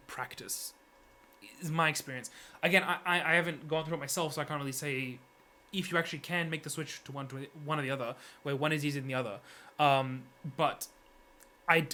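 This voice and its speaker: The sort of man you would hear on a solo opinion video, picking apart a theory unscripted in a crisp, bright, lightly high voice, stopping and rewording as he goes. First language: English, heritage speaker of Serbian. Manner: hesitant, halting, frequent self-corrections. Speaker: vlogger giving an opinion.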